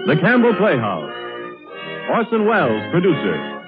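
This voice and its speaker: Animated, higher-pitched American radio announcer man. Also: unhurried, over music. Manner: polished, persuasive sales delivery. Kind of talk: 1930s sponsor announcing, scripted. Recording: tinny 1930s radio recording.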